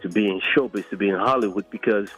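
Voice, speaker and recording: A middle-aged man. He talks conversationally as an interview guest, pausing often as he picks his words, with music playing behind him. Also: lowish voice, fairly bright tone, husky, poor audio. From Democratic Republic of Congo. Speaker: guest, entertainment producer.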